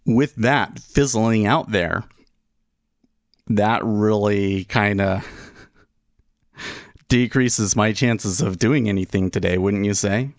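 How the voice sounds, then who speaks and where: A man in his thirties talks loosely to his livestream viewers, circling back and restarting his sentences as he goes. His voice sits fairly low, and the sound is dry and close, with no room echo. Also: slow pace, pauses often. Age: 30s. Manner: rambling, with many restarts and asides. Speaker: livestream host, day trader. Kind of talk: casual livestream commentary.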